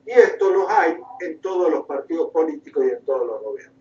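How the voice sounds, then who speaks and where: A man's voice: a little high, expressive, fairly quick, darkish tone, a little hiss or hum. A man with an Argentine Spanish accent giving an impassioned political lecture, emphatic and oratorical, with repeated phrases and rhetorical questions. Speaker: guest lecturer, political activist. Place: indoor room, recorded at a conference. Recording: roomy, distant mic, dull sound.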